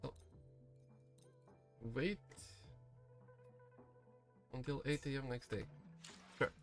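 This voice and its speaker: Slow-talking man streaming a game. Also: medium pitch. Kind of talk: casual gameplay commentary. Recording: faint game music behind. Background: game music.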